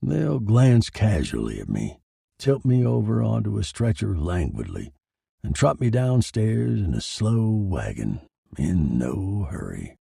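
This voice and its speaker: A man's voice: lowish voice, huge pitch swings, a little slow, vocal fry, warm tone, husky, dry recording, clean studio sound. A man giving a brooding, theatrical first-person narration of a scripted story.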